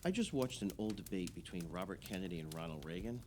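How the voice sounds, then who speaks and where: A man's voice: sits low, animated, fast talker, darkish tone, slight background noise. An actor playing an opinionated, idealistic male islander holding forth emphatically in conversational radio play dialogue.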